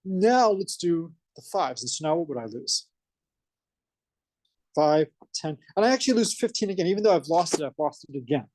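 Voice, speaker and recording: A man's voice: a little high, moderate pace, dry recording. A man with a Boston accent giving a college math lecture, asking the class questions as he goes. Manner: energetic, asks the class questions. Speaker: math professor.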